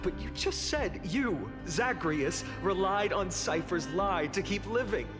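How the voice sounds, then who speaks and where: A man voicing a solemn, weary young hero in a fantasy video game, measured and earnest. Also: high-pitched, lively intonation, over music. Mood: questioning.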